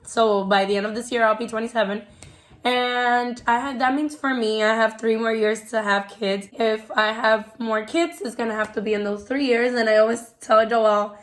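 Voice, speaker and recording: A woman's voice: on the high side, steady tone. Chatty young woman from New York. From New York, USA. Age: 20s. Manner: chatty, quick, laughs often. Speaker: vlogger, preschool teacher. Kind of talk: casual couple Q&A chat.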